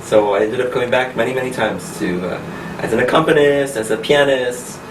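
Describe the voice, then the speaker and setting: A man from the United States in his thirties, a pianist and coach answering interview questions in a friendly, unhurried way with frequent laughs. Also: medium pitch. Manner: friendly, laughs often, speaks slowly and clearly. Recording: echoey room recorded from a distance with noise.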